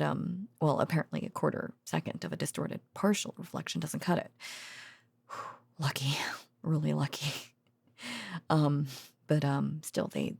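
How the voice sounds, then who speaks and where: Woman playing a weary, affectionate researcher girlfriend, ASMR audio roleplay, soft half-whispered murmur, frequent vocal fry, very dry close-mic sound, hesitant intimate delivery. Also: sits low, no echo, full character voice. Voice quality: soft, breathy, partly whispered. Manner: hesitant, drowsy, musing, with sighs and soft chuckles. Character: tender, weary female secret-facility researcher and girlfriend. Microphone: close microphone.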